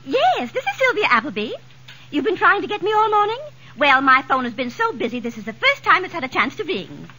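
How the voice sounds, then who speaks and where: An actress playing a catty, gushing society gossip in a 1940s radio comedy, chatty and theatrical. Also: female voice, high-pitched, big pitch swings, a little fast, pushed and bright, heavily acted. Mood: gleeful, catty. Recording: hissy vintage 1940s radio recording.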